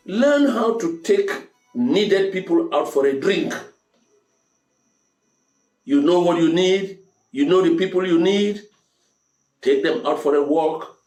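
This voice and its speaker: A man's voice: sits high, darkish tone. A man giving a motivational monologue to his audience in an emphatic, preacherly way, repeating phrases to drive points home. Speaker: host of a motivational talk show. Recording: roomy echo, music underneath.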